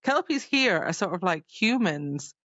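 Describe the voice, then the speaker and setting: A woman's voice: fairly low pitch, very animated, measured pace, fairly bright tone, dry recording, highs cut off. A woman co-hosting a podcast, loosely and chattily retelling a book in casual speech. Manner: chatty, loose retelling with lots of "like" and acted-out quotes. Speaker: podcast co-host. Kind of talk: casual book recap chat.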